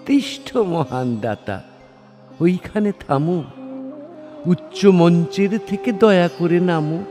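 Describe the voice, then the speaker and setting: A man's voice: high voice, lively intonation, takes it slowly. A man playing a crafty god disguised as a begging Brahmin, goading in formal dramatic verse. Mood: scornful, challenging. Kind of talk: dramatic Bengali verse recitation. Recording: studio voice over soft music.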